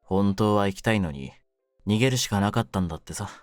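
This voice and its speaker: Man voicing a young mafia kidnapper, low voice, rough slangy brooding delivery, cynical and wounded, intimate audio drama, dry close sound. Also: quick pace, dry recording, faint music behind, close to an everyday voice. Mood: wistful. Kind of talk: Japanese situation-voice audio drama, ASMR.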